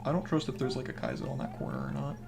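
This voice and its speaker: A young man with a naturally hoarse voice, casually commenting on a game he plays while reading and answering his chat. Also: wildly swooping pitch, rapid-fire, over music. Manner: low-key, mumbling, encouraging. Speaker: game streamer.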